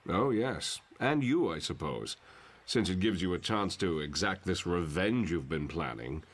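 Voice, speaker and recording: A man voicing a calm, fatherly telepath professor in a motion comic, speaking in a measured, wry, articulate way. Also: low voice. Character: calm, fatherly older male telepath professor confronting his son. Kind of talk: dramatic motion comic voice acting.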